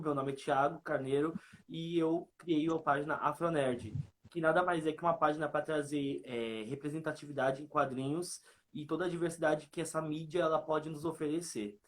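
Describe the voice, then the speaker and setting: Casual Brazilian man, slightly high voice. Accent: Brazilian Portuguese. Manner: informal, colloquial. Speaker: guest, comics reviewer and page creator. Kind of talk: casual live chat. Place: online video live, remote call.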